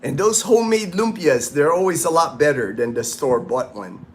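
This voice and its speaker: A man's voice: on the high side, expressive, normal speed. A man in his late fifties hosting a casual livestream, chatting with viewers in a rambling, easygoing way with lots of restarts, outdoors in the sun. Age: 50s. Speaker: livestream host. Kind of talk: casual livestream chat reading viewer comments.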